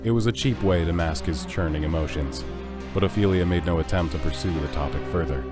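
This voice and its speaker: A man narrates a fantasy audio drama from a script. His voice is very low. Music plays clearly underneath the narration. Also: fairly quick.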